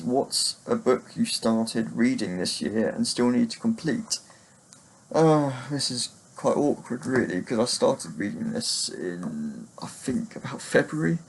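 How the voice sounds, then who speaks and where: A man giving a casual, unscripted book chat to camera in a hesitant, halting, rambling way. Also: medium pitch, creaky, husky, rough recording. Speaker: book reviewer vlogger.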